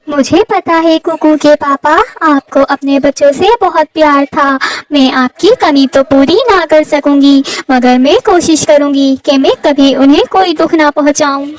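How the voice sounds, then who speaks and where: A woman voicing a gentle, caring widowed mother sparrow in a children's cartoon, speaking tenderly and earnestly with melodrama. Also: high-pitched, a little slow, few pauses, distant mic, rough recording. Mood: sorrowful, resigned.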